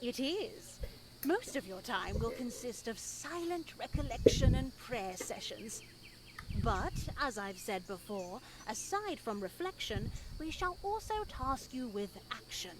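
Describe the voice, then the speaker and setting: Slow, solemn pious nun. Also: female voice, a little high, animated, heavy background noise. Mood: instructive, reverent. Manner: measured, formal, solemn, with lingering pauses. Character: stern pious nun lecturing young women at a convent. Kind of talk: scripted visual novel voice acting.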